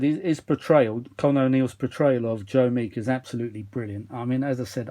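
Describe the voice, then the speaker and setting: A man's voice: mid-range voice, a little mellow. A man presenting a casual restoration vlog in a chatty, self-deprecating way, often losing his thread and searching for words. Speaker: vintage audio restorer presenting his own video. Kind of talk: rambling casual demonstration vlog. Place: small cluttered kitchen used as a workshop.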